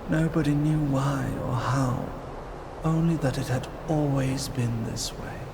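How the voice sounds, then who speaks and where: A man's voice: mid-range voice, unhurried, background noise. A man narrating a fantasy visual novel in a dramatic, scripted storytelling style.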